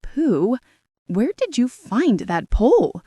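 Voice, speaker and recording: A woman's voice: a little high, big pitch swings, takes it slowly, warm tone, breathy edge, dry recording, voice pushed up, exaggerated voice. A woman voicing an earnest young boy who speaks solemnly to his friends.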